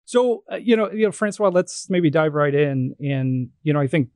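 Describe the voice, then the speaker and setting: A man's voice: fairly high pitch, steady tone, moderate pace, a little mellow, dry recording, clean studio sound. A man hosting a podcast interview, talking casually with many "you know" fillers. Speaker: guest host.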